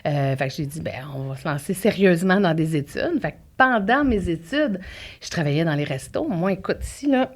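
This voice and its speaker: A woman from Quebec with a Québécois French accent, a guest entrepreneur telling her story in an energetic, talkative way. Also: fairly low pitch, brisk. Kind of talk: casual interview chat.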